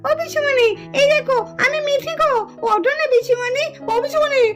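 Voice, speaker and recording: A woman's voice: very high voice, fairly even intonation, moderate pace. A woman voicing a small, worried, caring village girl doll in a puppet cartoon, pleading in a childlike way. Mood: anxious, pleading. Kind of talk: Bengali puppet story cartoon acting. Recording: music under the voice.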